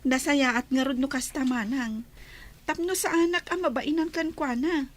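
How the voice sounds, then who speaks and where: Actress as young sister, Ilocano accent, very high voice, sweet, deferential, radio comedy-drama. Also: female voice, normal speed, natural voice. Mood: sweet, eager.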